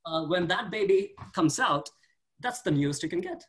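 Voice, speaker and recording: A man from India doing a stand-up set on a video call, talking haltingly with repeated words and dry punchlines. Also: high voice, lively intonation, over a noisy crowd. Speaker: stand-up comedian.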